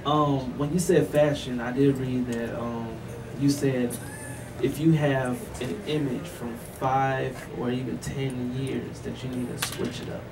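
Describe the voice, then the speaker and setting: A man's voice: mid-range voice, flat delivery, a little slow. A man co-hosting a casual radio show, asking long, rambling questions with jokes mixed in. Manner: laid-back, joking, rambling questions. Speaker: radio co-host. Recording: distant mic with background noise.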